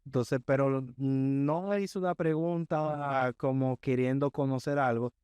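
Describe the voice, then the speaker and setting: Smooth-voiced man telling a story. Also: medium pitch, fairly even intonation, darkish tone, dry recording. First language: Spanish. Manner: measured storyteller, often checks in with "right?". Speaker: podcast guest. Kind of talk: casual interview chat.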